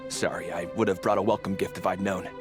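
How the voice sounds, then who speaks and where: A man's voice: deep voice, fairly even intonation, quick pace, lightly acted. A man acting as a protective, strict but polite middle-aged father in a video game, speaking in a fussy, formal way. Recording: background music under the dialogue.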